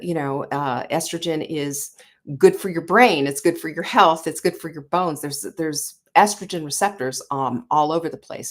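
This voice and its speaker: A woman in her sixties with a somewhat low voice gives an earnest, conversational talk as a show host. She circles her points with fillers and self-corrections, speaking straight to her listeners. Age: 60s. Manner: earnest, conversational, many "you know" fillers and restarts. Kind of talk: motivational talk.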